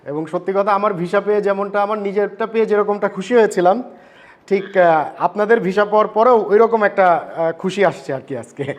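A man's voice: high-pitched, normal speed. A man with a Bangladeshi accent talking casually and earnestly as a vlogger and consultant, politely and with English words mixed in. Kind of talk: casual vlog talk and phone chat.

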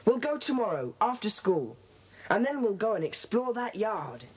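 Animated, decisive British schoolboy leader played by a woman. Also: medium pitch, moderate pace, lightly acted. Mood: keen, decisive. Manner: earnest, decisive. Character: confident schoolboy leader of a secret society. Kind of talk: children's audio drama acting. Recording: hissy old cassette tape.

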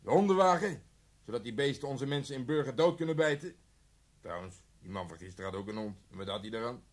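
A man acting as an irritable police officer in a radio play. He grumbles with biting sarcasm, in a medium-pitched voice, sounding thoroughly exasperated. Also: animated, fast talker, natural voice. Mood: sarcastic, exasperated. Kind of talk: Dutch radio drama acting.

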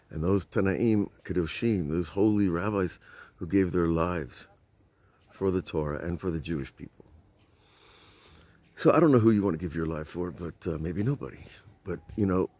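An older man with a lowish voice gives an informal Torah lesson, filmed on a phone at arm's length outdoors at night. He tells the story conversationally and puts rhetorical questions to his listeners. The sound is dry and close, with little room echo. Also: a little mellow. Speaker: rabbi teaching. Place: outdoors at night by old stone walls. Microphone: phone camera at arm's length.

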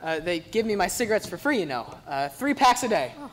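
A woman's voice: medium pitch, very animated, a little fast, slight background noise, lightly acted, low-quality recording. A woman acting on a school stage as a self-important veteran radio performer with theatrical hesitations. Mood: smug.

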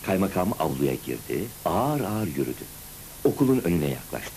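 Deep-voiced man telling a story. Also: normal speed, slight background noise. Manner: expressive storytelling. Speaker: narrator. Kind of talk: dramatic first-person storybook narration for a cartoon. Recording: music behind, old cartoon soundtrack. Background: music.

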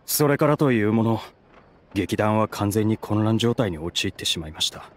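A man's voice: on the low side, expressive, moderate pace, lightly acted. A man voicing a polite, earnest, downcast young theatre performer in a game, with a deferential manner. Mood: sorrowful. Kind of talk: Japanese game voice acting.

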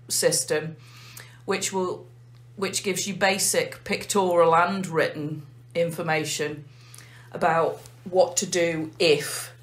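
An English woman, a nurse manager, calmly explaining a heart failure clinic in an interview. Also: fairly low pitch. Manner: measured, methodical explaining.

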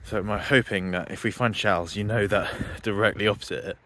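A man's voice: lowish voice, fairly even intonation, brisk, fairly bright tone. A man vlogging outdoors on his farm, chatting casually and thinking aloud as he walks. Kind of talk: casual outdoor vlog narration. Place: outdoors on a farm by a ditch and fields.

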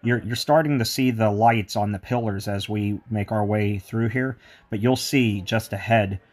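A man's voice: fairly low pitch, normal speed, a little mellow. A man giving an enthusiastic walking tour of a mall, mixing its history with chatty asides. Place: indoor shopping mall.

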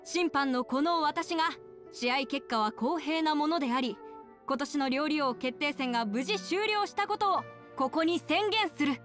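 A woman's voice: sits high, lively intonation, normal speed, fairly bright tone, soft background music. An actress voicing a businesslike young female officiator in an anime game, making formal, orderly announcements. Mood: official. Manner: declarative, orderly. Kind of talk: Japanese anime game voice acting.

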